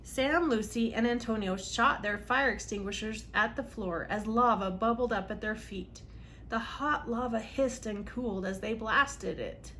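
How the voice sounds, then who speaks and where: A woman's voice: a little high, a little slow, a little hiss or hum. A woman reading a storybook aloud for children in a dramatic, emphatic way. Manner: dramatic, emphatic on exciting moments. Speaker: read-aloud host for children.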